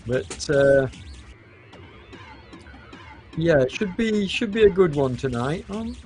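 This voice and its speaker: A man with a cold commentating a live esports cycling race, hesitant and full of fillers, with a hoarse voice and occasional coughs. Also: on the high side, lively intonation, a little mellow, faint music behind. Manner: hesitant, many fillers, unhurried.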